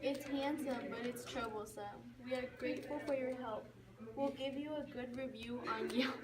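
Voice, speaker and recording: Woman as fussy high-voiced puppet-show sister. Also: steady tone, normal speed, a loud crowd, natural voice. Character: Gluck sister, fussy, scheming female cottage dweller. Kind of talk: amateur rhymed puppet show acting. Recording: distant mic, clearly noisy, clicks.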